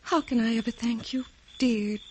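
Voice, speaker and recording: An actress playing a sweet, wonderstruck young fairy-tale heroine in a breathless, dainty, earnest way, in old-time radio drama style. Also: female voice, fairly high pitch, fairly even intonation, normal speed, a little mellow, natural voice. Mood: grateful. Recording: noisy, crackly old radio recording.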